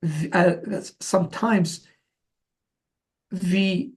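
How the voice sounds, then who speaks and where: A man in his forties from Algeria answering as an interview guest, telling his story conversationally in a halting way, often repeating words and restarting. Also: sits high, fairly even intonation. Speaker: interview guest, company founder.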